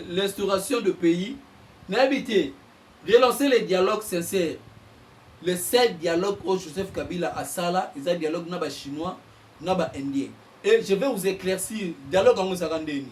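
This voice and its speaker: A Congolese man, a journalist and political analyst, giving impassioned commentary and getting louder as he presses his points. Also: high-pitched, lively intonation, moderate pace, distant mic, a little hiss or hum. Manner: heated, mixes French and Lingala, bursts louder on points.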